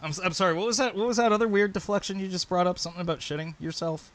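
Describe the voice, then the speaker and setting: A man's voice: high voice, very fast. A man around forty hosting a stream, mockingly accusing a caller during a heated live argument. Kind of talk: heated live-call confrontation.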